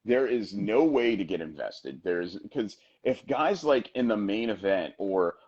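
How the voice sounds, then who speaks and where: Husky animated man, wrestling podcast host. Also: lowish voice, muffled recording. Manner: animated, emphatic, talks in quick bursts. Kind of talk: casual wrestling chat.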